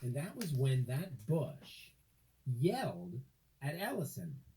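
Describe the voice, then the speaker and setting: A man narrating a children's story from a script, with dramatic, deliberate phrasing and expressive emphasis. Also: medium pitch, a little hiss or hum, rough recording.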